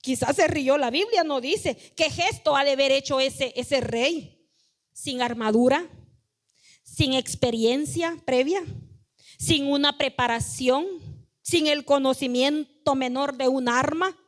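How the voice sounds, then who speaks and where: A woman's voice: high-pitched, slow pace. A woman pastor preaching a sermon in a conversational, emphatic way, speaking directly to the congregation and acting out quoted voices.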